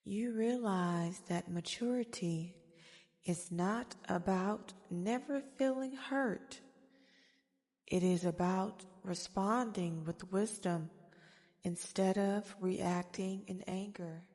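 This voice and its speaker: A woman guiding a meditation in a soft-spoken ASMR style, with soothing, gentle, deliberate phrasing. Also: medium pitch. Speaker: guided meditation host. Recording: long reverberant echo.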